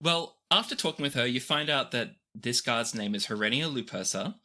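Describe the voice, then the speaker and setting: A game master narrating a tabletop roleplaying game off the cuff, describing scenes expressively with pauses. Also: mid-range voice, expressive, pushed and bright.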